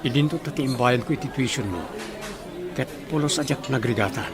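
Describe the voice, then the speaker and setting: A man's voice: medium pitch, fairly quick, a crowd in the background, lightly acted. A man with a Filipino accent playing an earnest, courteous young suitor in a radio drama. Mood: earnest, grateful.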